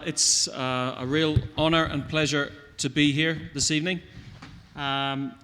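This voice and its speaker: A man with an English accent giving a measured speech as a guest speaker at a political panel, pausing with ums. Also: mid-range voice, bright, forceful tone, long reverb tail. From born in England, Irish. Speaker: guest speaker, Irish senator.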